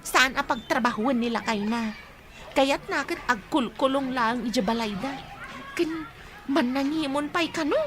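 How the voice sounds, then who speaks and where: Woman acting high, flirty, inquisitive Filipina. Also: a little slow, noisy background. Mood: teasing, curious. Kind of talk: radio drama acting.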